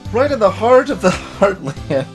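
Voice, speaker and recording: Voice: medium pitch, very animated, unhurried, soft background music. A performer voicing a brash, friendly young country woman from a video game, with a folksy drawl and a Southern American accent. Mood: folksy, breezy. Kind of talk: video game character voice-over.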